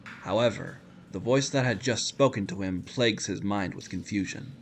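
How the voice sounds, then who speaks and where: A man's voice: fairly low pitch, normal speed, slight background noise. A man narrating a scripted audio drama in descriptive storytelling prose. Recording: loud music under the voice.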